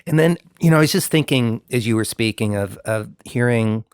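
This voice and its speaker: A man's voice: medium pitch, brisk, clear, resonant tone, dry recording, clean studio sound. A man hosting an interview, asking long, friendly, rambling questions with many fillers. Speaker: interview host, musician. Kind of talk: conversational interview.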